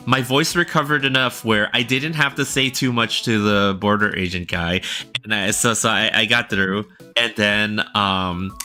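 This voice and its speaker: Male streamer, casual rambling chat to viewers, bright tone, faint music under. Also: fairly low pitch. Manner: rambling, talks directly to viewers with frequent "right?". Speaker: livestreamer and illustrator. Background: faint background music.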